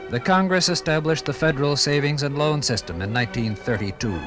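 A man with an American accent narrates a 1950s radio documentary. His delivery is formal and measured, with dramatic phrasing at a medium pitch. Music sits behind him on an old vinyl broadcast recording. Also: poor audio.

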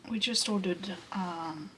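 A soft-spoken woman talks slowly and unhurriedly through her craft project, at times sinking into a whisper. Her voice sits at an ordinary middle pitch. A clear hiss of noise runs under the home recording. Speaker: vlogger. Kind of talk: casual vlog narration. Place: home quilting room.

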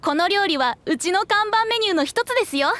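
An actress playing a courteous young waitress explaining things politely in an anime game. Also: female voice, very high-pitched, animated, fairly quick, fairly bright tone, no echo. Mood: polite, proud.

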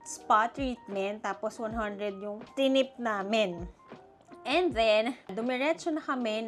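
Young adult woman, travel vlogger from the Philippines, chatty and lively, fairly high voice, soft music underneath. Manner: chatty, animated, mixing Tagalog and English. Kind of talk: casual vlog chat with budget talk.

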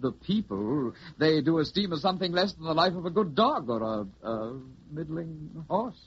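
A man playing a loyal high-born boy squire in an old radio drama, halting and deferential in archaic speech. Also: lively intonation, husky. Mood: earnest. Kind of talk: 1940s radio acting. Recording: dull old radio broadcast recording.

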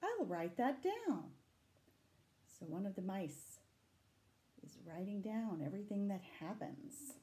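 A middle-aged woman reading a picture book aloud to children, dramatic and deliberate, adding asides and questions for her young listeners. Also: medium pitch, sing-song, dark tone. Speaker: library storytime reader. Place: small room indoors. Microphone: camera a short distance away.

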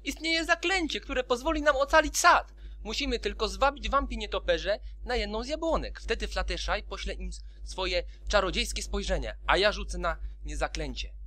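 A man's voice: high-pitched, animated, moderate pace, pushed and bright. A man voicing a brainy, earnest female wizard pony in an amateur cartoon fan dub. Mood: planning.

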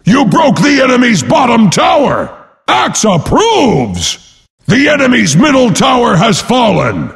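A boastful brute warrior announces a video game in a high, gravelly bellow. He is pompous and brash, swinging wildly in pitch, with a long reverb tail on his voice. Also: wildly swooping pitch, a little slow, a bit bright, shouting, heavily acted. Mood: booming, forceful. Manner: pompous, speaks of himself in third person.

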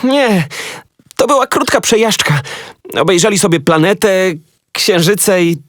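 A performer playing a knowing, cautious boy at a space academy in a children's audio drama, with a husky voice. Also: mid-range voice, expressive, measured pace, a bit bright, no room sound, clean studio sound. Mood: confiding.

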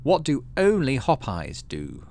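Casting: man playing a strict woman engineer-teacher in a game, brisk, corrective, somewhat higher voice. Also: huge pitch swings, gravelly, darkish tone, a little hiss or hum, heavily acted. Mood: stern, quizzing. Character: exacting female chief engineer teaching class.

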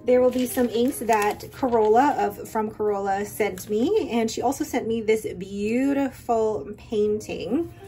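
A woman's voice: a little high, a little slow, warm tone, faint music behind. A woman hosting a stationery channel, chatting enthusiastically in a casual voice-over, with rambling asides. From Filipino heritage. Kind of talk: casual voiceover while swatching inks. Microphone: voice-over recorded separately.

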